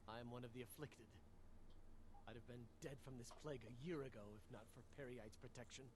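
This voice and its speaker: Casting: male actor as bitter, guarded fantasy-game refugee, sardonic, brisk delivery over heavy noise. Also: medium pitch, fairly even intonation, close to an everyday voice. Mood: grim, wary. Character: wary plague-stricken male refugee, bitter and weary. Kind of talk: fantasy video game character acting.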